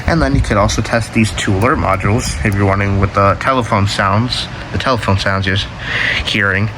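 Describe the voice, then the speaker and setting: A man's voice: fairly low pitch, brisk, noisy background. A man giving a casual, unscripted show-and-tell as a hobbyist, rambling offhandedly and correcting himself as he goes. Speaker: hobbyist collector showing weather radios.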